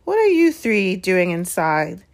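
A woman's voice: on the low side, very animated, unhurried, vocal fry, heavily acted. A stern older lady professor from a storybook, as a woman reads her. She is formal and clipped, coldly authoritative and very proper.

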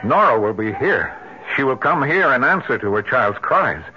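An actor playing a grief-stricken, haunted father in old-time radio drama, halting and intense on a dull vintage recording. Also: medium pitch, sing-song, very noisy, natural voice. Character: grief-stricken, haunted father convinced his dead daughter calls.

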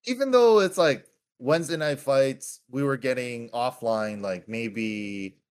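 A man's voice: medium pitch, measured pace, clean tone. A man in his thirties, from Hawaii, chatting casually as a podcast guest and calling people "dude" a lot. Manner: laid-back, keeps calling people "dude". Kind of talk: casual chat.

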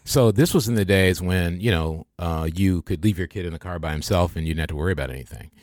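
An older man with an American accent, hosting a reflective religious podcast in a halting, conversational way. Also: deep voice, darkish tone, no room sound, studio quality. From American Midwest. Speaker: podcast host. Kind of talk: reflective religious talk to a small group.

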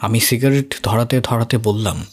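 A man's voice: mid-range voice, fairly even intonation, normal speed, soft background music. A man reading a scripted first-person story as an audio story narrator.

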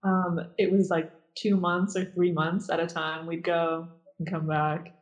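A woman's voice: on the low side, little pitch movement, a little slow, dull audio. A young woman from Nazareth, a guest in a casual interview, talking hesitantly with many fillers and restarts. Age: young adult.

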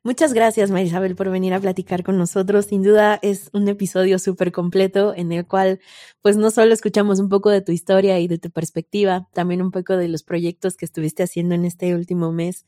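A woman's voice: medium pitch, flat delivery, brisk, warm tone, no room sound, clean studio sound. A woman hosting a podcast interview in a casual, agreeable and affirming conversational manner. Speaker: podcast host.

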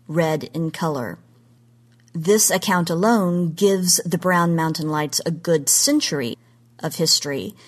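An American woman from Oklahoma presenting a scripted podcast talk in an articulate storytelling style with measured emphasis. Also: fairly low pitch. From Oklahoma, United States.

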